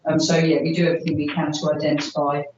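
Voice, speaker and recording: A woman's voice: low voice, monotone, fast talker, noisy background. A woman answering questions at a formal meeting as a park authority officer, hesitant and full of fillers. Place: meeting room. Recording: roomy, distant, dull recording.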